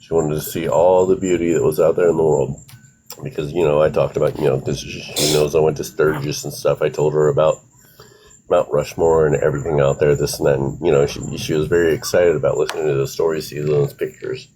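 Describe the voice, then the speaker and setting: A man's voice: extremely low pitch, level tone, a little fast, dark tone, slight background noise, low-quality recording. A man in his fifties, a father speaking as a guest on a live stream, earnest and reflective. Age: 50s. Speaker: guest, father of the woman discussed. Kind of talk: casual live stream talk.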